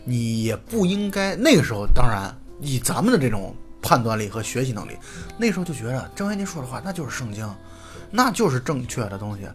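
A middle-aged man co-hosting a podcast, chatting casually and off the cuff in an animated, opinionated way. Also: mid-range voice, brisk, faint music behind. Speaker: podcast host. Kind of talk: casual unscripted podcast chat.

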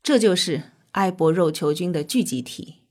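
A woman playing a calm, earnest scientist, explaining in a measured way in an audio drama. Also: on the low side, close to an everyday voice. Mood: measured, serious. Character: calm, earnest female scientist with mystical faith.

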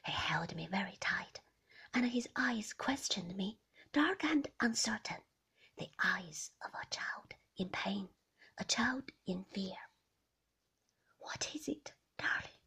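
A woman reads a novel aloud in a hushed, intimate whisper. Her voice is very breathy with a gravelly fry, and she takes her time over every phrase. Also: mid-range voice, lively intonation, takes it slowly. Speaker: narrator. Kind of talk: whispered ASMR audiobook reading.

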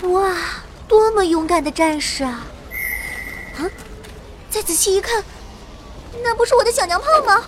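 A young heroine telling her fantasy adventure as radio drama. Her high voice is expressive and dramatic in delivery, with clear noisy effects behind it. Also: moderate pace, long pauses. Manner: expressive storytelling. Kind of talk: scripted dramatic radio narration.

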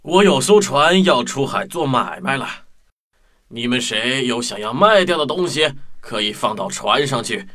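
A man's voice: medium pitch, expressive, normal speed, bright, forceful tone. A man playing an authoritative but kindly merchant master in a children's radio play, with declarative delivery. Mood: businesslike.

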